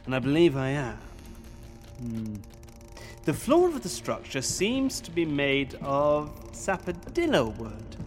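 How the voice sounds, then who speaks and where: A zealous academic explorer in an audio drama, pompous and easily excited. He speaks at a slow, measured pace with lively swings of pitch, over prominent music. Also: full character voice. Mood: excited, scholarly. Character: pompous, zealous male professor-explorer of lost civilizations.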